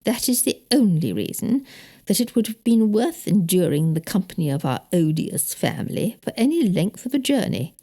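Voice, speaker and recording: A woman's voice: medium pitch, very animated, moderate pace, dark tone. A woman voicing a prim, indignant older married lady with a British accent, emphatic and precise, in an audiobook. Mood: indignant, disdainful. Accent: British English. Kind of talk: audiobook character dialogue.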